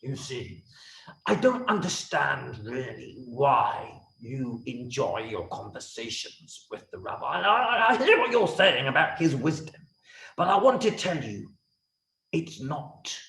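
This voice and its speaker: Man playing a sly, stammering prime minister, very animated. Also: mid-range voice, slow pace, full character voice. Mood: sly, insinuating. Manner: stammering, throat-clearing, insinuating. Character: scheming, obsequious male prime minister, sly and bumbling. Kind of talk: theatrical children's storytelling. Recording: roomy, echoey room sound.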